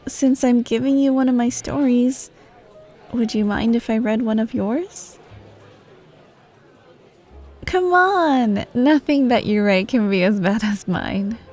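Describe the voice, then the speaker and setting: Woman acting slow, high-voiced friendly young student. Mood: eager, coaxing. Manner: soft, casual, hesitant, giggly. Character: friendly, teasing, bashful popular female student. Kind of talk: wholesome ASMR roleplay, speaking to the listener. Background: music, cafe ambience.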